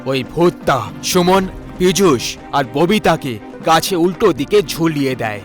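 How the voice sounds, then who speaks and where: A man's voice: on the high side, expressive, a little slow, faint music behind. A man narrating a scripted horror story.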